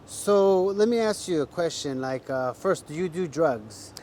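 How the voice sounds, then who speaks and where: A man's voice: on the high side, expressive, a little slow, a little mellow, slight background noise. A man interviewing a guest, asking blunt questions and giving advice in a casual way.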